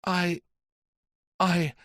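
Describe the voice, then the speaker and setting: A man's voice: high voice, level tone, very slow, a bit bright, no echo, voice pushed up, full character voice. Man playing a plaintive, stammering woman. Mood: plaintive, evasive. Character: frightened, evasive middle-aged woman under questioning. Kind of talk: audiobook character voice.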